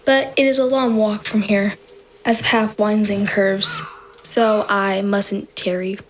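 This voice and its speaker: Woman playing a slow-speaking, innocent little girl. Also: mid-range voice, level tone, slight background noise, lightly acted, poor audio. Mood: earnest, innocent. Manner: sweet, careful, formally courteous. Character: polite, innocent little girl in a fairy tale. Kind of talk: audio-play fairy tale acting.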